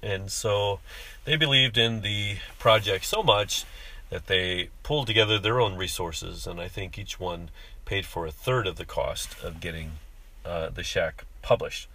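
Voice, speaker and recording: Male podcast host, very low voice, stuffy-nosed, rambling aloud from behind the wheel. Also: pushed and bright. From living in Japan. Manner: halting, many "uh" fillers and restarts. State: congested from allergies. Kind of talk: off-the-cuff monologue while driving. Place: in a car.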